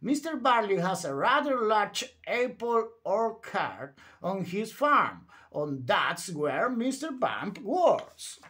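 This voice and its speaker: Man, children's storyteller, theatrical read-aloud, slow pace, swooping expressive intonation. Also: high-pitched. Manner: theatrical, emphatic delivery for young children. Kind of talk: animated bedtime read-aloud narration.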